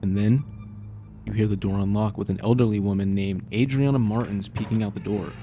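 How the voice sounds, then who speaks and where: A man's voice: fairly low pitch, fairly even intonation, a little fast, dark tone, soft background music. A man narrating a scripted video essay in a suspenseful storytelling manner. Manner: suspenseful second-person storytelling.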